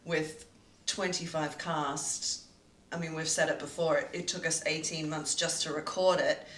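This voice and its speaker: Bright-voiced woman in a roomy space. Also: sits low, steady tone, a little slow. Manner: explains with fillers and restarts. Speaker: co-producer of the radio play. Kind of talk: casual behind-the-scenes chat. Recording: roomy echo, distant mic, faint noise.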